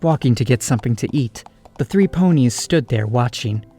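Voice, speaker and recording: A man's voice: medium pitch, animated, moderate pace, mellow, slightly rough, soft background music. A man giving scripted storybook narration as the narrator of an audio drama.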